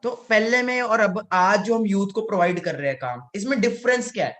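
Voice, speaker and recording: High-voiced guy from india hosting a live session, asks straight questions. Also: lively intonation, fairly quick, a bit bright. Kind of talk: interview questions.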